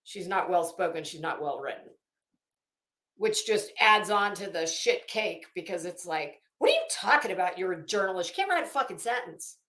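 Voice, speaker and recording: A woman ranting casually as an online host, blunt and sarcastic, swearing freely and halting to stretch words for emphasis. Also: on the low side, very animated, a bit bright. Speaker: YouTube host. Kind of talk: casual livestream rant. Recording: echoey room.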